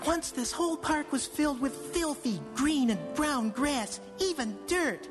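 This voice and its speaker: A gleeful little parody-advert character voiced by a woman. She is high and squealing, stretching words slowly with delight. Music plays behind her. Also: expressive. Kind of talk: comic radio ad acting.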